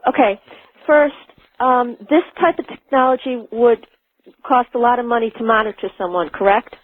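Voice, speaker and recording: A woman calling in to a radio show, putting her questions in a hesitant, deliberate way. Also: on the high side, no echo. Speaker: caller. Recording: tinny telephone line.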